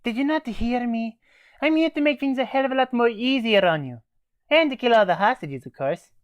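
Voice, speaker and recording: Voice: mid-range voice, animated, a little fast, no room sound, highs cut off, lightly acted. A performer voicing a brash, foul-mouthed, bloodthirsty military operator from a game in a comedy skit. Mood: cocky. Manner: blunt, cocky, swearing.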